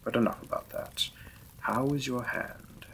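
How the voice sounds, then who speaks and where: A man playing a gentle, apologetic Victorian vampire lord in a romantic audio roleplay, speaking formally and tenderly as a courteous new husband. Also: mid-range voice, steady tone, unhurried, a little hiss or hum, natural voice, rough recording. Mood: courteous, warm.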